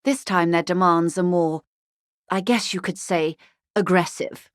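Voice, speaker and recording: An actress playing a wealthy corporate negotiator in a video game, refined and polished, speaking with urbane, wryly courteous, measured irony. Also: female voice, on the low side, fairly even intonation, dry recording, clean studio sound, lightly acted. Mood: grave, businesslike. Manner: urbane, measured, dryly ironic. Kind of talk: scripted game dialogue, conversational.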